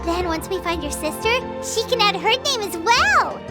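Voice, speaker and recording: A tiny floating fairy sidekick from a fantasy game, squeaky and very high with a bouncy, swooping voice. She chirps out exclamations and talks about herself by name. Game music plays behind her. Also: sing-song, normal speed. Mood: excited. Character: tiny floating female fairy-like companion, excitable. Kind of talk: game cutscene dialogue.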